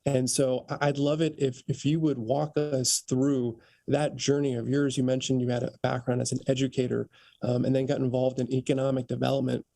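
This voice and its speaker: Gravelly, even-toned American man. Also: medium pitch, fairly quick, no echo. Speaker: interviewer. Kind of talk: conversational interview.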